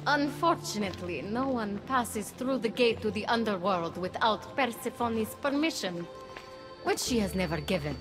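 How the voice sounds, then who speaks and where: An actress playing a sly, knowing goddess in a video game cutscene, teasing and self-assured. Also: female voice, medium pitch, expressive, moderate pace, over music, natural voice, rough recording. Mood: sly, amused.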